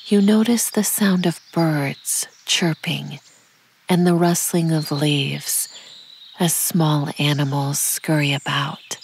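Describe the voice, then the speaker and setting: A woman narrating a guided meditation in a calm, soothing, measured way, with a soft, breathy voice that often sinks to a near-whisper. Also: low-pitched, a little hiss or hum. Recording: birdsong and forest ambience behind. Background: birdsong, insects, nature ambience.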